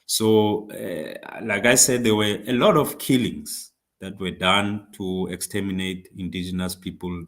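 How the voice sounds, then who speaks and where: A man from South Africa whose first language is not English, speaking to comrades in a discussion, halting with frequent fillers and restarts. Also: sits low, fairly bright tone. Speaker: speaker on labour politics.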